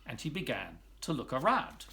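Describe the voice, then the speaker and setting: A man's voice: mid-range voice, wildly swooping pitch, normal speed, creaky, sharp tone. A man narrating a children's picture book expressively, as a volunteer storybook reader.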